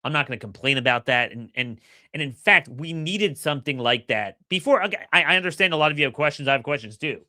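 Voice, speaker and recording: A middle-aged man with a slightly hoarse voice talks through his opinions in an energetic, forceful way, often stumbling over his first words. His voice is bright and pressing. The recording is dry and close, with no room sound. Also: a little high, quick pace, dry recording. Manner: energetic, opinionated, repeats words when starting sentences. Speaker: podcast host. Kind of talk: solo political commentary.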